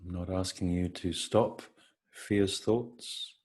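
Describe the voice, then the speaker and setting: Husky guy doing a guided meditation, really unhurried, soft reflective phrasing w long pauses. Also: deep voice, steady tone.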